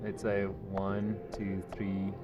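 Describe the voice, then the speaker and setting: A man's voice: sits low, monotone, slow pace. A man running a tabletop game as game master, talking casually and somewhat hesitantly as he narrates and makes rulings. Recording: background music under game talk. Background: music.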